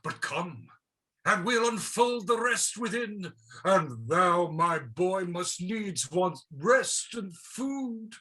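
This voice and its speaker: A performer acts out a pompous Justice from a mock-heroic stage play. The delivery is very slow and grandiose, in a bright but husky voice. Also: medium pitch, very animated, heavily acted. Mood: grandly revelatory. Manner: grandiose, declamatory. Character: pompous Justice, long-lost parent in a mock tragedy.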